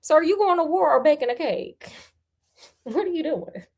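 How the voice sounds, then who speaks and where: An American woman with a fairly high voice hosts a storybook read-aloud. She talks straight to her listeners, slipping between narration and casual, sassy commentary. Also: lively intonation, moderate pace, a little mellow. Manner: sassy, conversational asides, addresses listeners.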